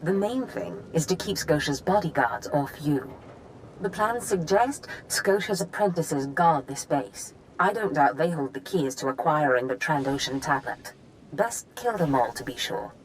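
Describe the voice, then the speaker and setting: An actress playing a scheming, theatrical female dark-side master in a video game, knowing and conspiratorial. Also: low voice, expressive, measured pace, a little hiss or hum, rough recording. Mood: cool scheming. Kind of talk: dramatic video game acting.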